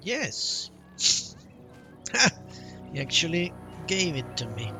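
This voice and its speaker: A man's voice: a little high, big pitch swings, slow, vocal fry. A man streaming a game live, giving blunt, casual commentary with some swearing. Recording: faint game music behind voice. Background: game music.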